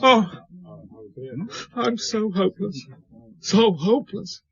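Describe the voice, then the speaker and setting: A man's voice: high voice, takes it slowly, gravelly, a bit bright, slightly rough, dull audio, exaggerated voice. An actor playing a self-pitying, drunken widowed father in a radio drama, despairing and apologetic, with slurred, maudlin delivery.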